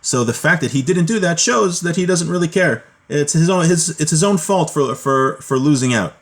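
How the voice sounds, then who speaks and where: A man's voice: a little high, expressive, moderate pace. Man teaching a religious law class. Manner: explanatory, conversational, often checking with "right?". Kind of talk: informal lecture, reading Hebrew text aloud and explaining it.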